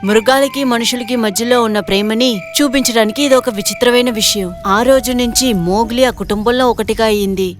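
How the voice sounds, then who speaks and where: A woman narrator reading a scripted moral story for an animated video. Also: a little high, fairly quick, hardly any pauses, soft background music.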